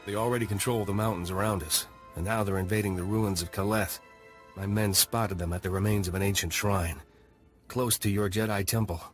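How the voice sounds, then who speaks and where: Man as a scout commander, low, matter-of-fact, faint music. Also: steady tone, fairly quick, close to an everyday voice. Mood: urgent. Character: male native scout leader, reporting.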